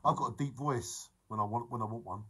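A man in his forties livestreaming to viewers, talking fast and excitably and breaking into song, filmed on a phone held close in a room. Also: medium pitch, slightly rough. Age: 40s. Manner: excitable, sings snatches and repeats phrases. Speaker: vlogger. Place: indoor room beside a fish tank. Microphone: phone held close at arm's length.

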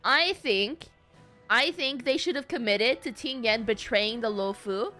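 A woman's voice: fairly high pitch, measured pace, sharp tone, faint music behind. A young woman doing casual live game commentary in a playful, slangy, dramatic way. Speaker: streamer.

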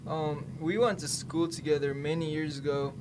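A man plays an apologetic young man in a radio drama, his voice a little high. He talks haltingly and earnestly over clear background noise. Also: steady tone, close to an everyday voice. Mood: guilty, apologetic. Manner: hesitant, halting. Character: hesitant, apologetic young man, reluctant stalker and book lover. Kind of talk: student radio play dialogue.

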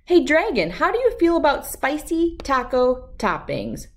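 A woman reads a children's story aloud in a fairly high voice. Her delivery is very slow and highly animated, with emphatic words and dramatic pauses for young listeners. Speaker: read-aloud host.

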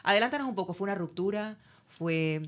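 A woman's voice: mid-range voice, expressive, a little fast. A middle-aged woman hosting a radio interview in a studio, encouraging her guest with frequent affirmations. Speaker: radio host. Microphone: studio mic with headphones.

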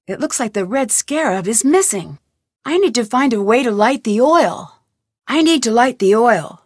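A woman's voice: a little high, dry recording. An actress voicing a young female amateur detective in a studio game, thinking aloud in an inquisitive, lightly wry way. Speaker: video game protagonist. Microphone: close studio mic.

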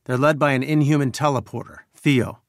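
A man's voice: mid-range voice, steady tone, brisk, no room sound, clean studio sound, natural voice. Man acting an earnest, urgent resistance leader. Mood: concerned, sober. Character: earnest male scientist leading a resistance, urgent, weary. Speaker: game character giving mission briefings. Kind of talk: video game mission dialogue.

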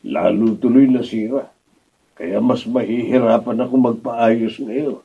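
Brief: humble, sheepish Filipino dad, husky, slightly muffled radio drama recording. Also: male voice, medium pitch, a little slow. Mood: apologetic. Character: sheepish, humble father and poor neighbour. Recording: slightly muffled studio camera audio.

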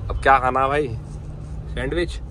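A man's voice: medium pitch, steady tone, normal speed. Chatty vlogger man on street. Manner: joking, chatty, laughs often. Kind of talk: casual vlog chat. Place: outdoors on busy city streets and at an expo. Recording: loud traffic noise outdoors.

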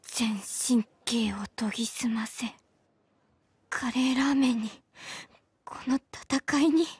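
Very high-pitched, lovestruck anime schoolgirl. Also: animated, normal speed, no room sound. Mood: intense resolve. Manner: overexcited, dramatic, rambling in self-reproach. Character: flustered, lovestruck high school girl, dramatic and anxious. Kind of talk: anime comedy inner monologue.

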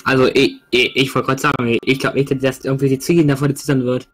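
A man's voice: mid-range voice, little pitch movement, fast talker. A man co-hosting a strategy game let's play, asking short questions and thinking aloud about his moves. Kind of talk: casual gaming chat.

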